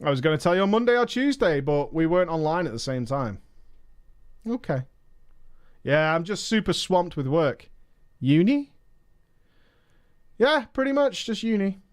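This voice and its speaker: Male streamer, story reader with commentary; bouncy, animated delivery, mocking side remarks. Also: on the high side, moderate pace, pauses often. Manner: emphatic, mocking asides. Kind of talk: reading a viewer's story aloud with commentary.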